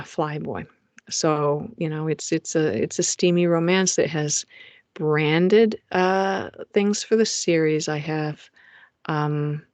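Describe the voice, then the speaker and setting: A woman's voice: low-pitched, darkish tone, no echo. A middle-aged woman, a romance author, presenting her publishing plans over slides in a chatty way, with frequent fillers and restarts, recorded in a home recording booth.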